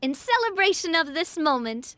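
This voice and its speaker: A woman's voice: extremely high pitch, sing-song, moderate pace, sharp tone, full character voice. A woman voicing a gracious, cheerful young lady boss in an anime video game, bubbly and courteous. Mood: warm, modest.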